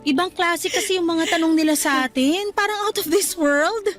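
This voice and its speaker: Trina, very high-pitched young office worker. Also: female voice, moderate pace, fairly bright tone. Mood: amazed, rattled. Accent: Filipino. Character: Trina, cheerful, self-deprecating young female office worker. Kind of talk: Filipino radio drama dialogue.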